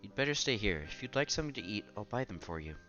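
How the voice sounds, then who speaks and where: A man's voice: fairly low pitch, sing-song, fast talker, highs cut off, music in the background. A man doing a casual let's-play, reading a visual novel aloud with asides and stumbling over words as he goes.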